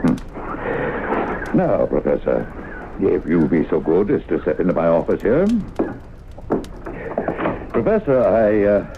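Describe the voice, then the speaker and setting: An actor playing a cautious, skeptical middle-aged small-town mayor, gravelly and hesitant, in old-time radio drama style. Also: male voice, sits low, big pitch swings, heavily acted. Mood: polite, flustered. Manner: hesitant, measured, formal. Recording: noisy, phone-like old radio broadcast.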